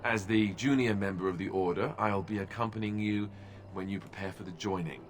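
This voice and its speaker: An actor playing a glib, friendly young warrior with a sarcastic, joking way of talking in a video game. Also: male voice, lowish voice, fairly even intonation, brisk, noisy background, close to an everyday voice. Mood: friendly, wry.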